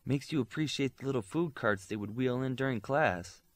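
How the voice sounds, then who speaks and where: A loyal young superheroine, played by a man reading an audiobook, speaks firmly and dryly. The pitch is lifted a little above a low male register. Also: moderate pace, no echo, lightly acted. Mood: wry.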